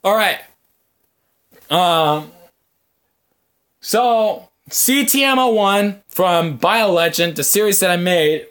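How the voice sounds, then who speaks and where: A man hosting a podcast, talking casually to his viewers in a rambling, halting way with long hesitant pauses. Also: fairly high pitch, a bit bright. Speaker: podcast host.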